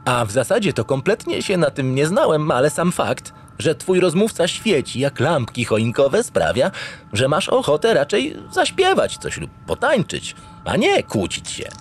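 An actor playing a pompous, naive spaceship captain, narrating a comic sci-fi radio play in a theatrical, grandiloquent, digressive way. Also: male voice, on the high side, wildly swooping pitch, normal speed, slight background noise. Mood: earnest, wondering. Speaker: lead actor in an audio drama.

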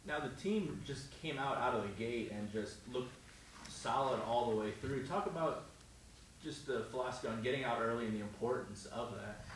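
A man's voice: medium pitch, normal speed, recorded from a distance. A man interviewing a coach after a match, asking upbeat, leading questions. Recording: very echoey room, off-mic, faint noise.

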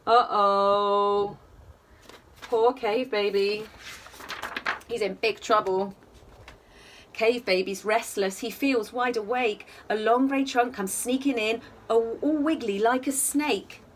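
Casting: woman, high voice, animated singsong storyteller for small children, recorded a little way from the mic at home. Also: slow pace, recorded from a distance, slight background noise. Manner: animated, singsong, asks the listener questions. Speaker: children's bedtime story reader. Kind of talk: expressive picture-book storytelling for young children. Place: small attic room at home, indoors. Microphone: camera a short distance away.

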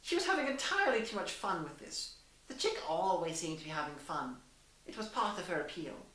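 A young woman giving a deliberate, theatrical dramatised reading of fan fiction, with emphatic character dialogue. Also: fairly low pitch, very animated, a bit bright. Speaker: reader and host in costume. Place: small plain room indoors. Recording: roomy echo, distant camera microphone.

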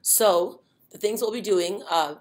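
A middle-aged woman presenting an instructional webinar from a desk webcam, explaining step by step with frequent ums and uhs. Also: medium pitch. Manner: many fillers, unhurried explaining.

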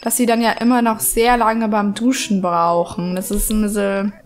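A woman's voice: medium pitch, flat delivery, moderate pace. A woman with a cold chatting casually through her gameplay, rambling with many fillers at a desk microphone. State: has a cold. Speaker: let's play host.

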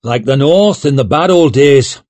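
A man's voice: medium pitch, animated, measured pace, a little mellow, no room sound, voice pushed up. A man voicing a big, easygoing, teasing northern warrior in an audiobook. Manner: muttering, teasing.

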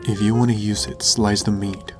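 Man acting a low-voiced serious young devil hunter. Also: level tone, moderate pace, over music, lightly acted. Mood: earnest, instructive. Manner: serious, scolding, earnest. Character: stern, responsible young male devil hunter, exasperated leader. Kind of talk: fan comic dub, dramatic acting.

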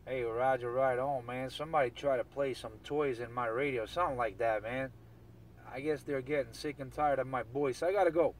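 A man's voice: mid-range voice, steady tone, a little fast. A man chatting casually in CB radio slang, often repeating his phrases. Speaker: CB radio operator. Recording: distant mic, noisy radio hiss.

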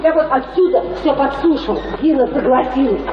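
High-voiced spiteful busybody. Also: female voice, expressive, fairly quick. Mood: conspiratorial, spiteful. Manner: blunt, needling, colloquial. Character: spiteful, nosy, gossiping provincial busybody woman. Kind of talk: radio play acting. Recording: echoey, distant, muffled radio drama recording.

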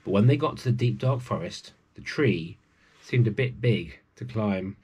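A man's voice: lowish voice, animated, moderate pace, darkish tone, slightly rough. A man in his forties reads a children's story aloud, adding chatty asides to his young listeners. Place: small room at home with bookshelves.

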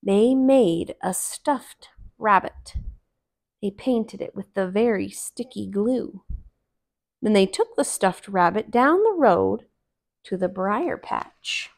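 A woman in her thirties narrating a children's story aloud in an expressive, deliberate way. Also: mid-range voice. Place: small living room, on a couch.

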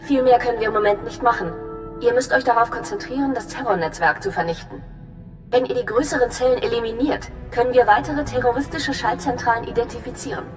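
An actress playing a serious, composed imperial intelligence officer giving a briefing in a sci-fi game, crisp and official. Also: female voice, on the high side, fast talker, lightly acted. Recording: reverberant hall, music behind.

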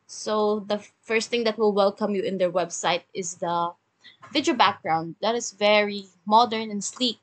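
A woman's voice: mid-range voice, a bit bright. A woman narrating a screen-share review of bakery websites, mixing scripted lines with chatty asides and fillers. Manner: chatty, reads script then ad-libs with fillers. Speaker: web design reviewer.

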